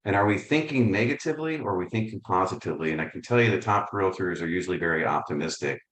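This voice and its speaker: Low-voiced upbeat man presenting on a call. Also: fast talker, a bit bright, reverberant. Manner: upbeat, conversational, self-deprecating. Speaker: real estate coaching presenter. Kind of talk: webinar presentation. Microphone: video call.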